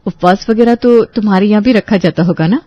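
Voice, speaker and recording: A woman voicing a caring, sensible elder sister in a family audio drama, reassuring and gently scolding, slightly dull sound. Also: medium pitch, brisk, no room sound, natural voice.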